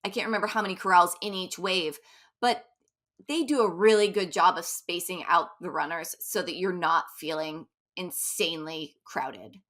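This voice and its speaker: A woman's voice: lowish voice, a bit bright. A woman running coach talking casually in an interview, chatty and upbeat. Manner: chatty, upbeat, quick asides with laughs.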